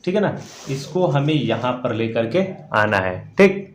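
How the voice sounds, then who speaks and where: A man gives a step-by-step lesson in a medium-pitched voice, going back over his points and checking in often with short tag questions. The recording is roomy and echoing, with a faint layer of noise behind him. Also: sing-song, unhurried. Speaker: English grammar teacher. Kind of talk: classroom lesson explained step by step. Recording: echoey room with faint background noise.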